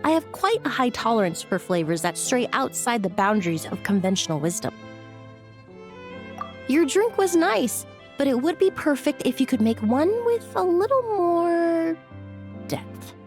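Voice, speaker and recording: A woman's voice: high-pitched, very animated, a little slow, full character voice. An actress playing a refined, courteous young woman in a video game, speaking with polite, precise formality. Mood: thoughtful, appraising. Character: refined, courteous young female perfumer with discerning tastes. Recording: faint music behind the voice. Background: faint game music.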